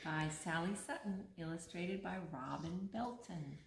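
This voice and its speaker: Slow, expressive woman reading to children. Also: fairly low pitch, gravelly, a little hiss or hum. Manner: expressive, prompting a child to fill in rhymes. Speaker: story reader and co-host. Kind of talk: animated read-aloud of a rhyming picture book for children.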